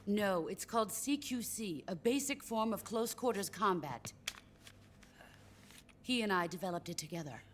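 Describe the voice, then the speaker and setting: A woman's voice: medium pitch, animated, moderate pace, slight background noise, close to an everyday voice. A woman playing a commanding, composed military mentor in a video game, speaking in a firm, terse, instructive way. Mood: stern, composed. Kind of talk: cinematic video game acting.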